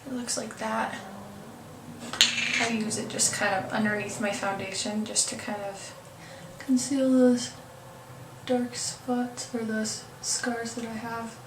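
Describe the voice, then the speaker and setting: A woman's voice: fairly high pitch, flat delivery, slow, pauses often. A young woman with a stuffy, congested voice, chatting hesitantly through a makeup tutorial with lots of ums and apologies. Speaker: beauty vlogger. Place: room at home. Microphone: camera mic from a distance. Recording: roomy, distant camera audio with faint hiss.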